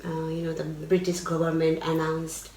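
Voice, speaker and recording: A middle-aged woman from Nepal with a Nepali accent, speaking as an interview guest, halting and searching for words. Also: sits low, completely flat delivery, over a noisy crowd. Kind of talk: casual interview. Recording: echoey room, mic at a distance.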